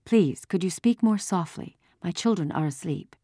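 A woman reader plays a protective mother in a storybook. The voice is polite and controlled, quietly firm, and recorded very dry. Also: fairly low pitch, animated, moderate pace, no echo, voice pushed up, natural voice. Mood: quietly pleading. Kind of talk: audiobook character voice.

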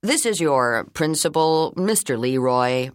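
A woman voicing a kind, funny male school principal making slow, measured intercom announcements in an audiobook. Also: sits low, lively intonation, no echo, clean studio sound. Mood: announcing.

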